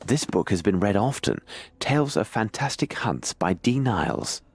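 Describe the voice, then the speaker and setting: A shrewd Victorian detective for an adventure game, low and husky, with a British accent. His delivery is formal and precise, self-assured, and swings widely in pitch as he reasons aloud. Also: very animated, normal speed, faint music behind, full character voice. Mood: musing, analytical. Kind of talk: scripted adventure game dialogue.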